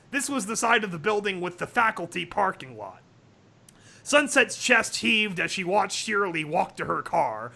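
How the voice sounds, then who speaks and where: A man hosting a livestream, reading a comic story aloud in an animated, dramatic way with casual asides. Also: sits high, vocal fry. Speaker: livestream host.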